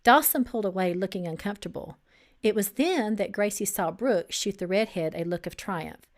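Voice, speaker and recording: A woman reading her own novel aloud as its author, in an expressive, dramatic storytelling style. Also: mid-range voice, moderate pace.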